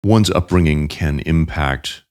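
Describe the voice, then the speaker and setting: Male podcast host, very low voice, calm and measured questions, dry studio sound. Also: no echo. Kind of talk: interview podcast.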